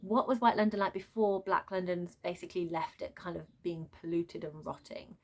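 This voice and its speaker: A woman's voice: lowish voice, moderate pace. Woman in an exasperated, casual book rant. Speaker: book reviewer. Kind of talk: unscripted book rant, casual vlog chat.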